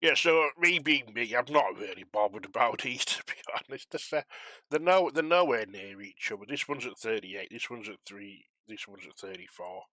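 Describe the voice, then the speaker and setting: A man's voice: mid-range voice, expressive, normal speed, sharp tone, no room sound. A man chatting casually on a flight simulation stream, rambling and self-deprecating as he talks to viewers while controlling air traffic.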